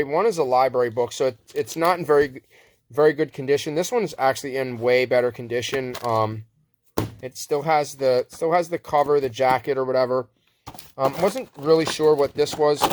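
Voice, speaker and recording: A man's voice: mid-range voice, slight background noise. A man giving a casual, unscripted show-and-tell as a reseller, rambling and correcting himself in laid-back, slangy talk. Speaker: thrift reseller showing a haul.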